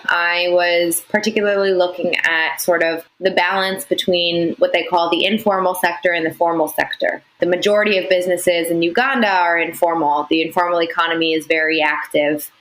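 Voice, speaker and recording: A young woman, a company founder, answering interview questions in a measured, explanatory way with frequent "you know" fillers. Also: on the low side, fairly even intonation, a bit bright, reverberant, low-quality recording. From United States. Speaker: founder, podcast guest.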